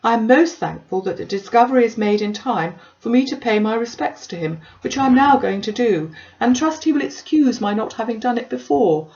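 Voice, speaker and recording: A woman's voice: fairly high pitch, animated, a little fast, few pauses, a little mellow, voice pushed up. Lady doing a pompous fawning clergyman for an audiobook, formal n wordy, bit muffled sound. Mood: excited, self-important. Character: pompous, self-important clergyman, eager and obsequious. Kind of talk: audiobook character dialogue.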